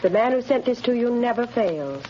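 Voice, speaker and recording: A woman playing a calm, loyal messenger. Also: fairly high pitch, moderate pace, a little hiss or hum, close to an everyday voice. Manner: gentle, steady and reassuring. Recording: muffled 1930s radio recording.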